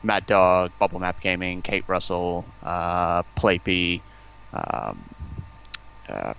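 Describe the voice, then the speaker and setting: A man speaking as a guest on an online call, chatting casually in a halting, conversational way. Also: low-pitched, vocal fry, a bit bright, clear, resonant tone, low-quality recording. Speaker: interview guest. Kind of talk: casual chat, recounting.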